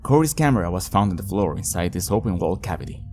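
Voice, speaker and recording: A man's voice: deep voice, lively intonation, quick pace, over music. A man hosting a horror video review, moving between scripted narration and casual commentary. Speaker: host of a video reviewing an analog horror series.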